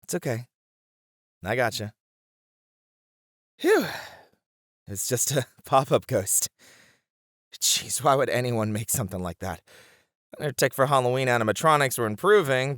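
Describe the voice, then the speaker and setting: A man acts out a playful, protective boyfriend in an ASMR roleplay. His mid-pitched voice moves up and down with lots of animation as he teases and reassures his partner. The sound is dry, with no room around it. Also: lively intonation, moderate pace, long pauses, a bit bright, no echo, natural voice. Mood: shaken, soothing. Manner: teasing, chuckling, conversational reassurance to one listener.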